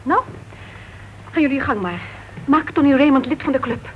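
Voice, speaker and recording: A woman's voice: high voice, animated, normal speed. An actress playing a proud, agitated woman club member in a Dutch radio play. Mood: indignant, agitated. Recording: dull, noisy old radio recording.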